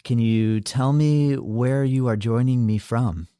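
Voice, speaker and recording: A man's voice: medium pitch, takes it slowly, mellow, clear, resonant tone, dry recording, studio quality. A man hosting a podcast interview, enthusiastic and full of filler words. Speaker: podcast host.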